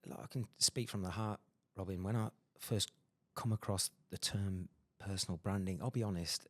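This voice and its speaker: A man hosting a podcast interview, talking quickly and emphatically with many fillers and restarts. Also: low voice, steady tone, no room sound. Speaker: podcast host. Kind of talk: casual interview chat.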